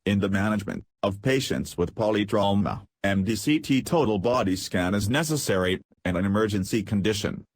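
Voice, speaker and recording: A man's voice: on the low side, dry recording. A man presenting a medical lesson as formal, scripted educational narration, reading from a script.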